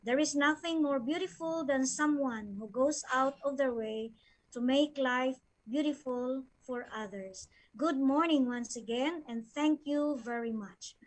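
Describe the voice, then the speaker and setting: A woman with a Filipino accent giving a formal, measured presentation read from slides. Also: high voice, rough recording. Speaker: education official presenting a plan.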